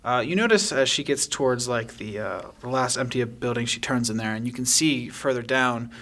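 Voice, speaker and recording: A man improvising narration as game master of a tabletop game, describing things in an informal, halting way. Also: mid-range voice, fairly bright tone.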